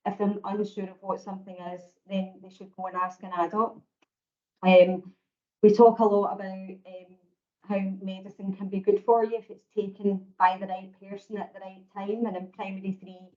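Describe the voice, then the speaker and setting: A Scottish woman with a booming voice walks colleagues through teaching resources, speaking in a steady, even-pitched way with little melody. The sound is dull and lo-fi, the way a video call comes through. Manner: steady, explanatory, calls her own voice booming. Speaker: education presenter. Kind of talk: online meeting presentation.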